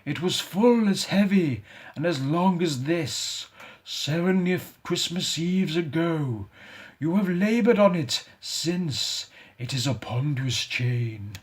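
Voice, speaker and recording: A man voicing the mournful ghost of a dead businessman who drags a chain and laments in a solemn, drawn-out wail. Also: on the high side, animated, pitched above the performer's own voice. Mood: lamenting.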